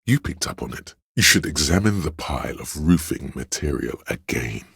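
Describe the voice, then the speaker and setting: Gravelly, bottomless-voiced theatrical courtier. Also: male voice, animated, moderate pace, a bit bright, no echo, clean studio sound, full character voice. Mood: grandly deferential. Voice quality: gravelly, husky bass. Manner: theatrical, grandiloquent, addressing a liege. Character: theatrical male inner voice, courtly, scheming, obsequious adviser. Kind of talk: scripted game voice lines, archaic courtly speech.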